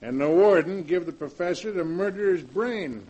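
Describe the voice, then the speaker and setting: An actor playing a rural sheriff in a 1940s radio drama, with drawling, colloquial small-town speech. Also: male voice, high-pitched, expressive, normal speed, warm tone, slightly rough, poor audio. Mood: folksy. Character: folksy rural male sheriff.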